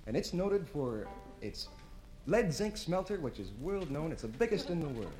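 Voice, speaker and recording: A man's voice: a little high, very animated, normal speed, faint music behind. A man from western Canada bantering on a live stage, boasting playfully and insisting his jokes are true. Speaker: folk group singer. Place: live stage before an audience. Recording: roomy live recording with loud noise.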